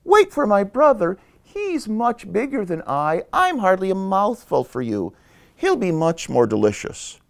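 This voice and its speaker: Guy doing a teeny timid billy goat, really high piping voice, pleading, storybook style. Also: huge pitch swings, unhurried, vocal fry, full character voice.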